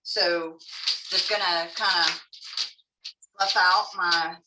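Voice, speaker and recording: A woman's voice: lowish voice, fairly even intonation, slow, echoey room, recorded from a distance, heavy background noise. A woman with a Texan accent teaching a live online craft class in a chatty, folksy way, joking about herself as she works. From Texas.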